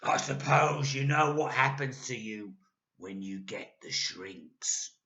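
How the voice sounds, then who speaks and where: Woman puts on a cruel old husband, loud and slow, lively swoops; storybook reading. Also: extremely low pitch, full character voice. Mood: gloating. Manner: loud, slow, mocking taunts. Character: nasty gloating old husband. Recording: echoey room, dull lo-fi.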